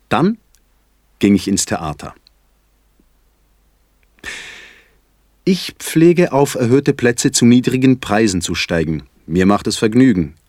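An actor playing a fussy, self-satisfied poet in a theatrical literary monologue, with mannered, ornate, deliberate phrasing. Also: male voice, low-pitched, darkish tone, no echo, studio quality. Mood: animated recollection. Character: fussy, self-satisfied Swiss poet confessing small escapades. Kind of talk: theatrical literary monologue in German stage diction.

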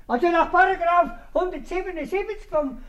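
An actor playing an officious, stammering old magistrate with a Swiss German accent in a 1950s radio comedy. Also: male voice, very high voice, fairly quick, fairly bright tone, muffled recording. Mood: officious, flustered. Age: older. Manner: stammering, pompous. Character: flustered, officious old magistrate, easily led.

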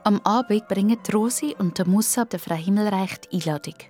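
A woman's voice: mid-range voice, fairly quick, darkish tone, faint music behind. A woman with a Swiss accent narrating a scripted children's story.

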